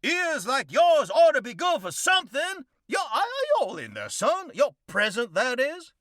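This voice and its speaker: A pompous blowhard king with a Southern US drawl, as a performer puts him on, booming and laughing at his own jokes. The voice rides high and bounces around, bright and close in a dry room. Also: huge pitch swings, unhurried, no echo, full character voice. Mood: blustering. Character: pompous self-proclaimed king, male, blustering.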